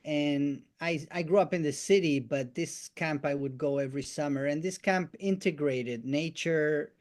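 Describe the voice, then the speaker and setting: Halting Argentine-born man on a call. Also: a little high, a little mellow. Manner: halting, many restarts and "eh" fillers. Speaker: guest musician and storyteller. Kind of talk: casual conversation, life story.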